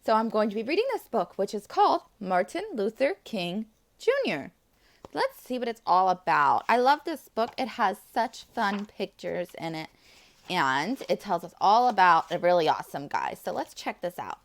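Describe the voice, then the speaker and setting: A woman's voice: medium pitch, big pitch swings, moderate pace. A woman preschool teacher reading aloud to young children, enthusiastic, with friendly asides to her little listeners.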